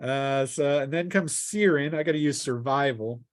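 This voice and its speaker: A man's voice: medium pitch, normal speed, no room sound, clean studio sound. A jovial man acting as game master, casually narrating and bantering through a tabletop role-playing session. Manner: jovial, laughs often.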